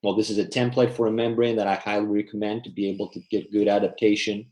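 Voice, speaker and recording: A man with a fairly low voice gives a technical slide presentation. He explains step by step, breaking his sentences into short phrases with small pauses. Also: level tone, darkish tone, low-quality recording. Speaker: dental researcher giving a lecture. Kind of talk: slide presentation at online symposium.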